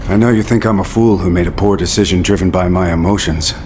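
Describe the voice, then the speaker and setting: A man voices an earnest, remorseful executive officer who tries to persuade a colleague. His voice is very deep and calm, and steady background noise sits under it. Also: fast talker, close to an everyday voice. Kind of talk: video game cutscene acting.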